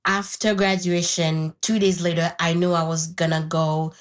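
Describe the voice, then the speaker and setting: Thoughtful young Haitian woman, lower voice. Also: little pitch movement, normal speed. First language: Haitian Creole. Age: young adult. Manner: thoughtful, candid. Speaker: interview guest. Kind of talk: reflective personal storytelling in conversation.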